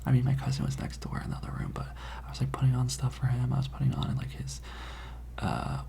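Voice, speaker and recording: Gossipy teen student for ASMR roleplay, played by an adult: conspiratorial, rambling whisper with a creaky edge. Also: medium pitch, fast talker, dark tone. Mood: sheepish, confessional. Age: teenage character. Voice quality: breathy whisper with creaky edges. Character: gossipy, dramatic high school student whispering about a librarian.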